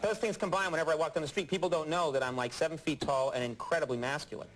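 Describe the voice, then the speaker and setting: A man's voice: a little high, steady tone, racing, very noisy. A man hosting a late-night talk show, teasing his guest and joking at his own expense. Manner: self-mocking, teasing, playful banter. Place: TV studio.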